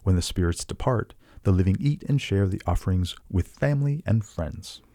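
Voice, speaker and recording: A man's voice: low voice, lively intonation, moderate pace, warm tone, no echo, clean studio sound. A man co-hosting, reading scripted explanatory narration.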